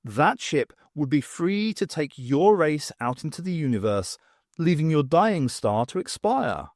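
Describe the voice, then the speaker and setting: Man reading as a wry, lively woman adventurer. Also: fairly high pitch, a little slow, dry recording, studio quality. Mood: explaining. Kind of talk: audiobook character voice.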